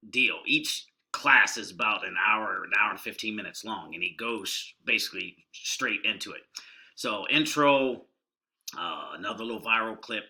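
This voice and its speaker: A man's voice: lowish voice, bright, forceful tone. A middle-aged man casually walking through online marketing trainings, keeping his voice down and rambling in a folksy way. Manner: rambling, folksy and deliberately subdued. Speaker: online marketing video host. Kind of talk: casual screen-share walkthrough.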